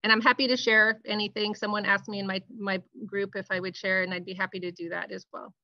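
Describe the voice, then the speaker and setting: A woman's voice: mid-range voice, little pitch movement, fast, dry recording. Woman teacher, hesitant, restarts often, dry muffled call audio. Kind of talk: webinar discussion.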